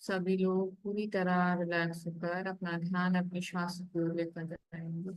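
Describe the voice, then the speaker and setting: A woman with an Indian accent leading a guided meditation, giving calm instructions. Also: lowish voice, unhurried, dry recording, poor audio.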